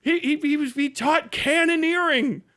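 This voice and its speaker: Very high-pitched man ranting, profane and comedic. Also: moderate pace, pushed and bright. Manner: mocking, exaggerated storytelling; laughs often while talking. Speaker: livestream host. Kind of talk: casual comedic rant.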